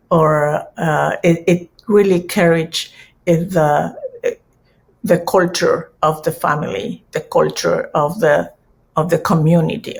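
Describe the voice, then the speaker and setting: A middle-aged woman from Peru with a Peruvian Spanish accent, giving a conference talk in a halting way with many restarts. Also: low voice, gravelly, breathy edge. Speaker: conference speaker. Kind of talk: conference presentation.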